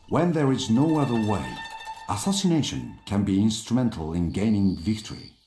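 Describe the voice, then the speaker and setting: Game cutscene narrator, played by a man: grave old Japanese sage, low-pitched, measured, sententious, echoey room sound. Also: close to an everyday voice. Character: grave, wise Japanese elder recounting samurai history and counsel. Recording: roomy echo over music.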